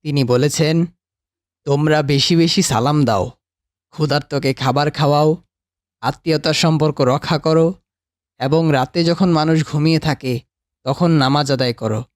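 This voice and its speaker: Cartoon grandfather, male actor: gentle, devout and instructive, pitched a little high. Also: normal speed, pauses often, dry recording, studio quality, lightly acted. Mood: gentle teaching. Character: kindly, pious grandfather teaching his grandson. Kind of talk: animated cartoon voice acting.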